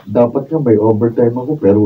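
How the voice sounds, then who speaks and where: A man's voice: mid-range voice, fairly quick, lightly acted. A man acting a patient but exasperated young boyfriend in a Filipino radio drama, protesting in a Filipino accent. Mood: weary, defensive. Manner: pleading, protesting, aggrieved. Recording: distant, dull, noisy behind-the-scenes room recording.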